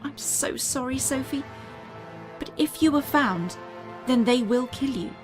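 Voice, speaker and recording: Grave, high-voiced secretive mother. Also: music in the background. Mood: urgent warning. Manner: grave, deliberate explaining. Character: earnest, secretive alien mother confessing to her daughter. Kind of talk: audio drama acting.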